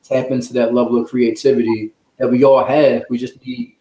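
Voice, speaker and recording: A man's voice: medium pitch, flat delivery, normal speed, dark tone. A man speaking as a guest author, earnest and encouraging in casual, motivational interview talk. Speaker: children's book author, guest. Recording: roomy, echoing sound.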